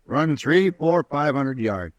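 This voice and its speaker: An actor playing a coarse, combative Civil War veteran and horse bettor in a 1950s radio drama. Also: male voice, on the high side, expressive, slow. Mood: belligerent.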